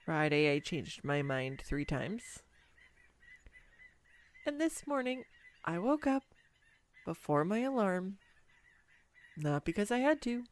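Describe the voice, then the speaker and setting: A woman playing a clumsy, nervous, giddy young woman on a first date in a romantic audio roleplay, rambling awkwardly and self-deprecatingly with halting stumbles. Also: on the low side, very animated. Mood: nervous, confiding. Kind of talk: scripted romantic audio roleplay addressed to the listener.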